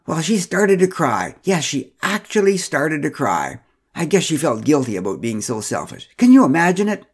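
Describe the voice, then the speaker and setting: A man voicing an oblivious, preachy older woman neighbor in a spoken story, with a husky voice. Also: on the high side, sing-song, moderate pace, voice pushed up, full character voice. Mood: indignant.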